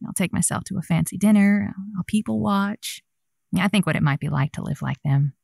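A woman's voice: on the low side, fairly quick, gravelly, a little mellow, dry recording, clean studio sound. A woman playing a wry, affectionate radio host who uses pet names, in an audio drama. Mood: wry, candid. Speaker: radio advice-show host. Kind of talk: semi-scripted audio drama.

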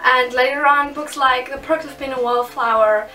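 A twenty-one-year-old woman from Germany with a high, bright voice speaks sincerely. She sounds a little distant from the mic. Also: steady tone, moderate pace, faint music behind.

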